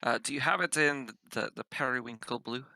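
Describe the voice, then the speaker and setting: A man's voice: mid-range voice, fairly quick, pushed and bright, no room sound. A man chatting casually as a player in a tabletop role-playing game.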